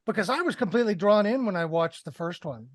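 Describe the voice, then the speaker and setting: A man's voice: high voice, lively intonation, fast, no echo. An older man in his sixties talks through a film in casual, analytical discussion. His headset mic gives a dry, close sound with no room in it. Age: 60s. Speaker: film review podcast panelist. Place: indoors, on a video call.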